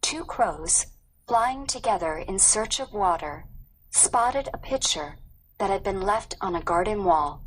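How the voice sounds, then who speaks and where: Slow, measured woman narrating, faint background noise. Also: mid-range voice, expressive, rough recording. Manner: measured, careful reading. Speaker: audiobook narrator. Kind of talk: scripted storybook narration.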